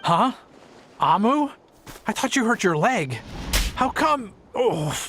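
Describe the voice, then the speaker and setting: A man's voice: sits high, sing-song, slow, background noise, heavily acted. A man playing an earnest, hesitant family man in a video game. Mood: surprised. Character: earnest, guilt-ridden family man hiding a secret.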